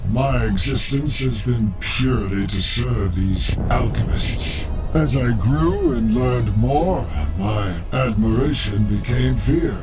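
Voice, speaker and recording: A man playing a weary, distrustful and powerful artificial being in a video game, speaking in a solemn, measured way. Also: medium pitch. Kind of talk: video game cutscene acting.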